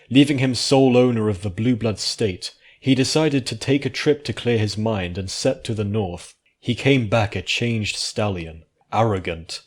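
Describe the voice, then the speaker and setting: Low-voiced man narrating a story. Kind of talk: scripted fiction narration.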